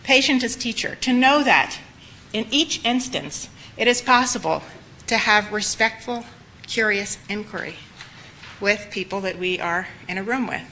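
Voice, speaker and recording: Woman, slightly hoarse, earnest lecture, bright tone, faint background noise. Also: mid-range voice, measured pace, rough recording. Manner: earnest, reflective, with emphatic repetition. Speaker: lecturer for medical students. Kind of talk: spoken lecture.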